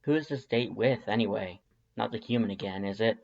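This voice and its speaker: A man's voice: fairly low pitch, a little fast, vocal fry, a little mellow, lo-fi sound. A man voicing a laid-back, sardonic male skeleton in an audio drama, with casual, dry, slangy speech. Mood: dry, amused. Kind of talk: fan fiction audio drama acting.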